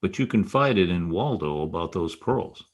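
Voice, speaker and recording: An actor playing a hard-boiled, wisecracking private detective in a 1940s-style radio drama, with a wry, clipped delivery. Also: male voice, low voice, animated, moderate pace. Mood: probing, wry.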